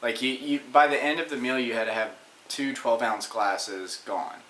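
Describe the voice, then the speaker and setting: Young man, twenties, dry relaxed chat, halting, roomy home sound, faint noise. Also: medium pitch. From Texas. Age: 20s. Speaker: vlogger's husband. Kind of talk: casual chat. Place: home room indoors, behind the webcam. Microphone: webcam, sitting back.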